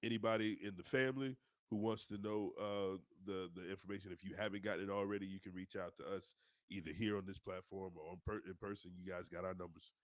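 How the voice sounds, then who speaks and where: A man co-hosting a podcast, a self-described loudmouth who sounds stuffy from a cold. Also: low-pitched, steady tone, fast, dry recording. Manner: loud, playful banter. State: stuffy, congested. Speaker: podcast co-host. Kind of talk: casual podcast chat.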